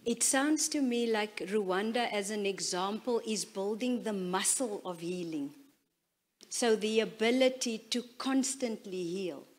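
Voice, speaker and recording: A woman interviewing in a thoughtful, measured conversational way, reflecting back what she has heard. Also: medium pitch. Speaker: interviewer, moderator.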